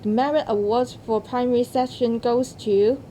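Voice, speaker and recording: Woman, very soft mellow tone, slightly high voice, scripted award announcing. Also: steady tone, normal speed, a little hiss or hum. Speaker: awards ceremony host.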